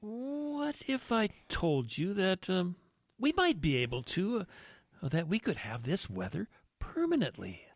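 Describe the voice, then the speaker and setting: An actor playing a greedy, callous travel agent in an audio drama, with a smug, theatrical manner. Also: male voice, high-pitched, very animated, measured pace, gravelly, no echo, exaggerated voice. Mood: hesitant, sly. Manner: theatrical, muttering schemes to himself.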